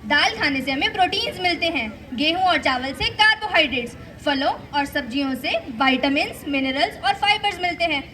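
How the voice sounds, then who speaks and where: Girl as a high, bright, instructive mother. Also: expressive, brisk, few pauses. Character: instructive, patient mother of a schoolgirl. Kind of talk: school stage play acting. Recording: live stage, faint background noise.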